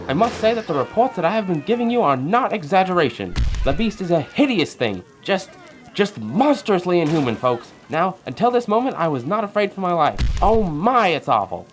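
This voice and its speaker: A man acts out an excitable male newscaster from an old radio play. His voice sits high and swings widely in pitch. He is formal yet breathless and melodramatic. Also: brisk, soft background music. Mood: horrified. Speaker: radio news announcer. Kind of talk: 1940s radio acting.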